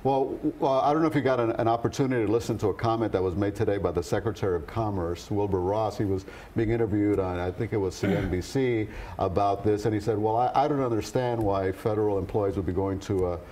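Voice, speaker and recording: A man's voice: on the low side, fast talker, hardly any pauses, dark tone, a little hiss or hum. A man working as a TV news anchor, reading the news and interviewing in broadcast style.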